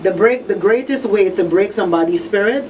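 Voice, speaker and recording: A woman hosts a live radio discussion in a conversational, emphatic way. She repeats key phrases and often checks that she is understood. Her medium-pitched voice sits a little away from the mic, with faint noise behind it. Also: a little fast, darkish tone, recorded from a distance. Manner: conversational, emphatic repetition, frequent rhetorical check-ins. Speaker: radio talk show host. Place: radio talk show broadcast.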